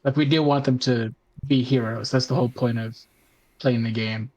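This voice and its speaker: A man's voice: mid-range voice, steady tone, normal speed, a little mellow, low-quality recording. A man in casual roundtable conversation, informal and a little tentative, checking in as he goes. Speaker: podcast regular, tabletop role-playing player.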